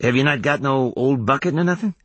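A man's voice: medium pitch, a little fast, a bit bright, slightly rough, no echo, pitched above the performer's own voice. A man voicing a laconic, plain-spoken young wanderer in an audiobook, with folksy speech. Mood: practical. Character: plain-spoken rustic youth, a wandering male kid. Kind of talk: audiobook character voice.

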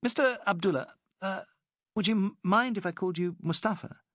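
A man voicing a poised British woman working as a secret agent, speaking crisply and persuasively. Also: sits high, a little fast, a bit bright, no echo, clean studio sound, voice pushed up.

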